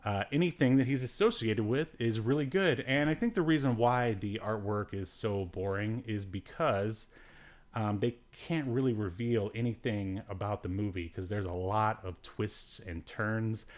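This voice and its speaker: A man's voice: fairly low pitch, a little slow. A man hosting a podcast with casual, rambling review chat, hesitant and given to dry, joking asides. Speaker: podcast host.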